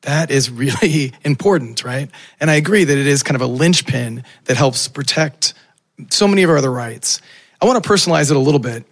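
A man who is a Baptist minister, speaking as a panelist with a raspy voice from illness, earnest and thoughtful. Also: mid-range voice, animated, brisk, no room sound, studio quality. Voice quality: gravelly. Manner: earnest, thoughtful, with small laughs. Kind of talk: panel discussion remarks.

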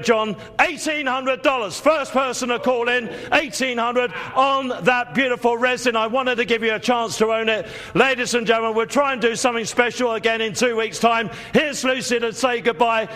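A man hosting a televised art auction as auctioneer, calling bids in a rapid, repetitive chant and urging buyers on. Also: very high-pitched, sharp tone.

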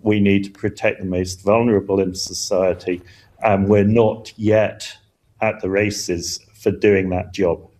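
A man's voice: fairly low pitch, little pitch movement, unhurried, a little mellow. A man speaking as an expert guest in a news interview, explaining earnestly and emphatically, often repeating words as he goes. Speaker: climate scientist, expert guest.

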